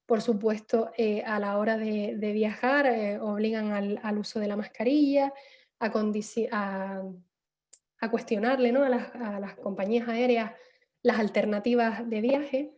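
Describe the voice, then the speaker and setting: A woman's voice: medium pitch, fairly even intonation, measured pace, a little mellow, muffled recording. A woman giving a panel talk on a video call, hesitant, with many fillers and restarts. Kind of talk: online seminar talk.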